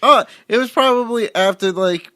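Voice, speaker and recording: A man's voice: extremely high pitch, moderate pace, a bit bright, dry recording, clean studio sound. A man co-hosting a podcast, chatting casually about a film and often agreeing with his co-host. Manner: agreeable, chimes in with short backchannels. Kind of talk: casual movie review chat.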